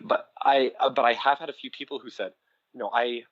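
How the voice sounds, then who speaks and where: A man's voice: medium pitch, steady tone, fairly quick, no room sound, lo-fi sound. A man, an audio drama producer and writer, chatting as a podcast guest in a rambling, self-deprecating way full of fillers and small laughs. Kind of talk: casual interview chat.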